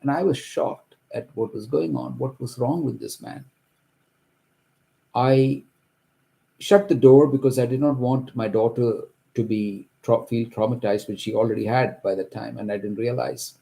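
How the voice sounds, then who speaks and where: Mellow-voiced man, earnest and halting. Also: medium pitch, vocal fry. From Kerala, India. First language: Malayalam. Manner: earnest, halting account with frequent restarts. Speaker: homeowner telling his own story. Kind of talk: personal testimony to camera. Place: his home office.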